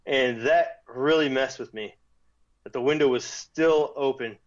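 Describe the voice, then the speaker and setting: A man guest telling a personal story in casual talk, animated when quoting others, with restarts. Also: mid-range voice, measured pace, highs cut off. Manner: animated retelling with quoted voices, restarts.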